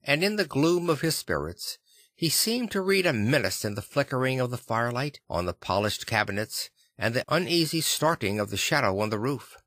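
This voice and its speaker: Guy narrating an old novel, really expressive up and down pitch, close dry sound. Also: normal speed, no room sound. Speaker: audiobook narrator. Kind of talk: expressive scripted literary narration.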